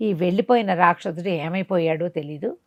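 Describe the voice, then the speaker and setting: A woman telling a folk moral tale in a conversational, dramatic way, pausing for asides and rhetorical questions. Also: lowish voice, no room sound, dull audio. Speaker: storyteller. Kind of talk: animated oral storytelling of a folk moral tale.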